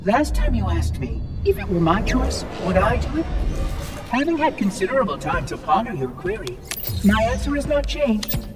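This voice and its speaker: Figure: a cold, eerie robot monitor. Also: male voice, high-pitched, sing-song, moderate pace, over music. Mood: detached. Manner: formal, precise, detached. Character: calm, eerie, coldly polite floating male robot monitor. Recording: distant voice under game score.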